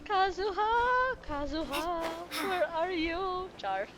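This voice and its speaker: A woman streamer doing playful gameplay commentary, excitable, with sarcastic asides and lines read aloud. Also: extremely high pitch, slow pace. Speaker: streamer reacting to a game. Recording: dull lo-fi mic, faint music.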